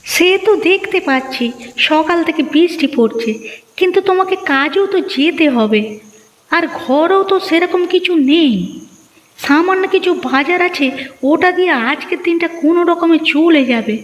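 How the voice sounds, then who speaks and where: A woman voicing a nagging but caring village housewife and mother in a children's cartoon, fussy and expressive. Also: very high-pitched, normal speed, big-room sound, rough recording. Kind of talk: children's moral story cartoon dubbing.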